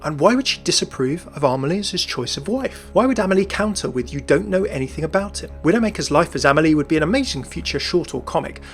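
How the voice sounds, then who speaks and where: A man's voice: fairly high pitch, animated, fast, few pauses, fairly bright tone. A man hosting and narrating a scripted game lore commentary. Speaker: video host and narrator. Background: music.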